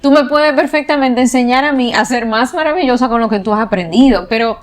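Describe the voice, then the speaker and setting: A woman's voice: fairly high pitch, fairly quick. Emphatic woman educator explaining. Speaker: sex educator guest. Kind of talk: conversational panel talk.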